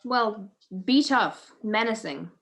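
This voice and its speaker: A woman playing a quick-witted, wry amateur sleuth in a radio-style mystery, with a teasing manner. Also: fairly high pitch, expressive, creaky, fairly bright tone, exaggerated voice. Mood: wry, probing. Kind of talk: 1940s radio mystery acting.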